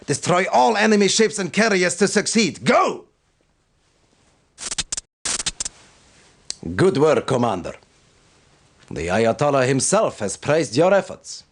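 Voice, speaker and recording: An actor playing a stern, devout military commander who gives solemn, formal and deliberate briefings in a video game. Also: male voice, fairly high pitch, big pitch swings, creaky, slight background noise. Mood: stern, urgent.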